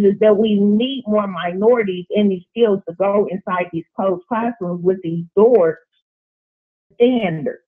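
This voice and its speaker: Emphatic woman on a tinny phone line. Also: medium pitch. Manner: emphatic, repeating phrases. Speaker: teacher, guest in a discussion. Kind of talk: opinionated discussion talk.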